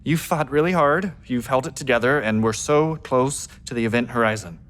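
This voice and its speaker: Gentle, soft-spoken male astronaut played by an actor. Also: mid-range voice, normal speed, natural voice. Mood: consoling. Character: gentle, forgiving male astronaut crewmate, quietly lovelorn. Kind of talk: naturalistic radio drama acting.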